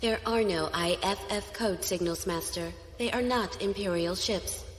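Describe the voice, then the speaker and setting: A woman playing a dutiful, formal military aide in a science fiction audio drama, giving crisp reports. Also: mid-range voice, measured pace, natural voice. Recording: echoey hall reverb with loud music.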